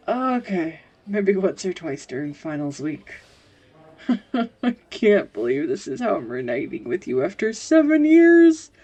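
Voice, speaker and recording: A woman acting an anxious, tender young woman in an intimate audio roleplay, speaking in a halting, confessional ramble on a close microphone. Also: lowish voice, darkish tone. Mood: flustered, embarrassed. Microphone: close USB studio microphone.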